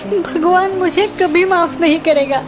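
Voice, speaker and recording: High-pitched petulant little boy voiced by a woman. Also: a little slow, over music. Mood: remorseful. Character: petulant, emotional young boy in a cartoon. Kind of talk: Hindi children's cartoon dialogue.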